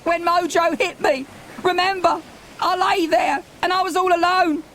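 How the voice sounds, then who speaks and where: A man's voice: very high-pitched, steady tone, normal speed, a bit bright, slight background noise, pitched above the performer's own voice. A man voicing an anguished, pleading young boy in a dramatised children's audiobook. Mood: tearful, anguished. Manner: breathless, pleading, childlike exclamations. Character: anguished, pleading young boy, Milo, abandoned by his dad.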